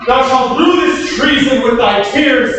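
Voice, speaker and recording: Man playing a high-voiced loyal lord, echoey. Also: unhurried, fairly bright tone. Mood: anguished fury. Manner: emphatic declamation. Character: loyal, forceful English lord and soldier. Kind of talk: Shakespearean staged reading. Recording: echoey hall from far off, faint noise.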